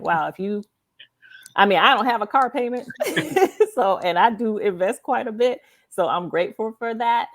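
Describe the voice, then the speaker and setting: A woman hosting a casual interview, speaking emphatically and affirmingly and repeating points for her listeners. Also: mid-range voice. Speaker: host of a live author interview show.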